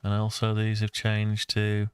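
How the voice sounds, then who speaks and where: A man with a fairly low, flat voice thinks aloud through an on-screen design tutorial. He talks very slowly, muttering his way from one step to the next in a casual, unscripted way. The sound is dry and close. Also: smooth, no room sound. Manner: muttering to himself, talking through each step. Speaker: host of a CAD design tutorial.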